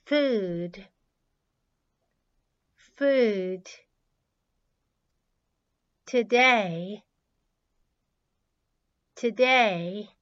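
A woman who speaks Japanese natively reads as an English teacher leading a drill. She goes extremely slowly, enunciating each word deliberately so learners can follow. Her pitch sits in a middle range for a woman. The recording is a little dull and lo-fi. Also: fairly bright tone. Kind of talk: language drill, reading words and sentences twice. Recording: slightly dull, lo-fi audio.

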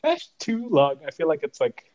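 A man's voice: fairly high pitch, sing-song, moderate pace, a little mellow, highs cut off. A man joining a podcast as a guest in casual chat, joking and laughing often.

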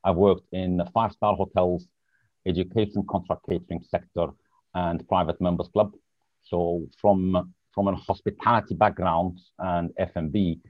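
A man from Lebanon speaks in a very deep voice, pausing now and then to find the right word as he talks about his work. His voice sounds dry and close, through a dull, lo-fi remote line. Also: no echo. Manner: searches for words, hesitant. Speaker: podcast guest. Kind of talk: casual interview chat.

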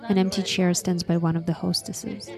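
A woman reading scripted, evocative scene-setting narration in a slow, measured way. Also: on the low side, flat delivery, vocal fry. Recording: narration over soft music.

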